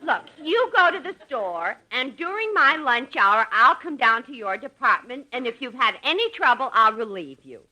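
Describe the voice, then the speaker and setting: An actress playing a soothing, practical wife in 1950s radio comedy, deliberate and reassuring. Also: female voice, high voice, sing-song, pushed and bright, a faint crowd, full character voice, low-quality recording. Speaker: comic actress. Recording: 1950s radio broadcast, audience behind. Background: studio audience.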